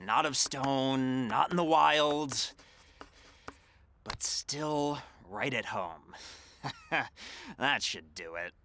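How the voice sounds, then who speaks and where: A woman playing a put-upon, quietly scheming mayoral aide in a comedic audio drama, deadpan and deliberate. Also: extremely low pitch, slight background noise, heavily acted. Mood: sly, mocking.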